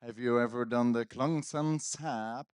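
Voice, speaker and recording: A man's voice: mid-range voice, a little slow, clear, resonant tone, dry recording, clean studio sound. A man hosting a conference on stage, casually bantering and teasing the audience and his guest into a microphone. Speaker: conference host. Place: conference stage at live event. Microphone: handheld stage microphone.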